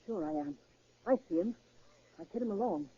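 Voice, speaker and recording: Husky, bitter gangster's woman. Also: medium pitch, animated, a little fast, warm tone. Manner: tough, slangy, hesitant. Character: bitter, fearful gangster's woman bent on revenge. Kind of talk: 1930s radio crime drama acting. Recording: muffled, lo-fi 1930s radio recording.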